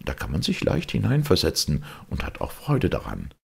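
A man's voice: low voice, huge pitch swings, moderate pace, vocal fry, slightly rough, full character voice. A man voicing a talkative, sentimental cooking pot from a storybook, earnest and reminiscing. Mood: eager.

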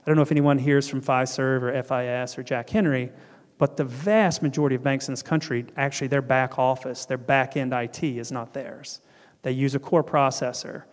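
A man's voice: mid-range voice, darkish tone. A middle-aged man from North Carolina giving a conference keynote with a handheld mic, earnest and restarting often. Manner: earnest, gestures broadly, frequent restarts and ums. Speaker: keynote speaker and panel guest. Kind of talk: conference keynote and fireside chat. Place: large hall on stage. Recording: hall reverb.

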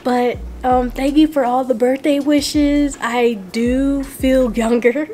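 Hoarse, chatty young woman vlogging. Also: sits high, dark tone. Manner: chatty, drawn-out filler words. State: hoarse, losing her voice. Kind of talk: casual chat to camera.

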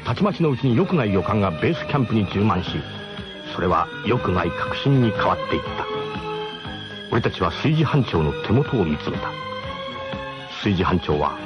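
A man's voice: fairly low pitch, big pitch swings, moderate pace, highs cut off, over music. A man playing a camper who narrates a comic radio drama in a grandiose, mock-solemn way with colloquial turns. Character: male camper grandly recounting his gang's ramshackle expedition.

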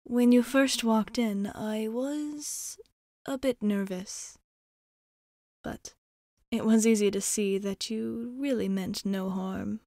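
A woman playing a courteous, guarded vampire bartender in an ASMR roleplay, speaking in soft, measured, formal phrases. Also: on the high side, no echo, clean studio sound. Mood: polite, candid. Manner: polite, measured, formal, often dropping into near-whisper.

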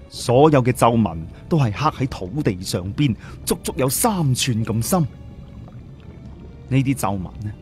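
A male narrator tells a serial story with colloquial turns of phrase. His mid-pitched voice moves in a lively, expressive range. Soft music plays underneath. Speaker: audiobook narrator.

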